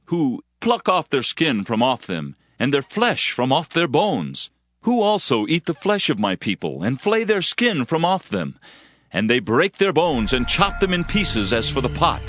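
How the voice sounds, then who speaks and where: A man's voice: mid-range voice, sing-song, unhurried, a bit bright, dry recording. A man narrating scripture as a dramatized reading, solemn and oratorical in delivery. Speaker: audio Bible narrator. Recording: music behind the voice.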